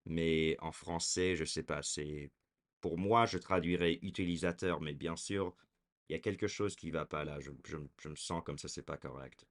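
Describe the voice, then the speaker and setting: A man's voice: rumbling low, normal speed. A man in his twenties talking casually to a camera, hesitant, with frequent fillers and restarts. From raised in America. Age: 20s. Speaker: vlogger.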